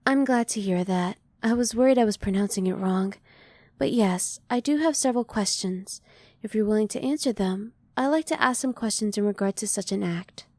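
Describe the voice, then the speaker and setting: Soft, mellow, curious alien woman, acted out by a woman. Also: medium pitch, no echo, clean studio sound, lightly acted. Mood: curious, reserved. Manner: formal, measured, precise diction. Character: curious, emotionally reserved female alien, polite and analytical. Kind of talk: ASMR roleplay.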